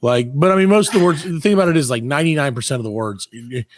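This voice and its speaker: A man hosting a comedy podcast, chatting casually and ranting in mock exasperation, his voice hoarse from overuse. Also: a little high, racing. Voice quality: worn-out, overused voice. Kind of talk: casual comic chat.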